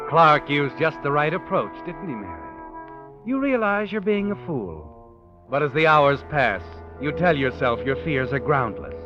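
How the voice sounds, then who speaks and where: An actor playing a knowing, taunting narrator in a 1940s radio suspense drama, speaking directly to the heroine. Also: male voice, fairly high pitch, big pitch swings, a little slow. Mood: knowing, ominous. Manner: knowing, ominous. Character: omniscient, taunting male narrator addressing the heroine. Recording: dull vintage 1940s radio recording. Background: music.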